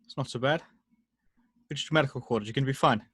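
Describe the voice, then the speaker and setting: A solemn space officer, played by a man in a script table read. He is fatherly and deliberate, with a medium-pitched voice recorded dry, without room sound. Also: a bit bright, husky, dry recording. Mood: worried, comforting. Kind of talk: amateur screenplay table read.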